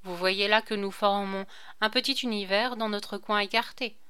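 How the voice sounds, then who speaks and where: A woman reads a letter aloud for an audiobook. Her tone is bright and forceful. Her voice is smooth and resonant, and she reads expressively. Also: medium pitch, normal speed. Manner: clear, expressive reading. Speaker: audiobook reader.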